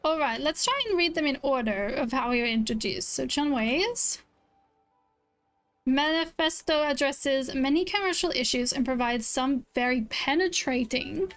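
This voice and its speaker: A woman's voice: sits high, moderate pace, fairly bright tone, soft background music. A woman streamer giving bubbly, chatty commentary over a game playthrough and reading its text aloud. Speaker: gaming streamer.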